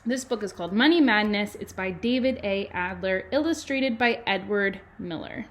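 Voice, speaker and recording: A woman, a kindergarten teacher reading to children and adding chatty, animated explanations and questions in simple words. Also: mid-range voice, normal speed. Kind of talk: children's read-aloud with chatty asides.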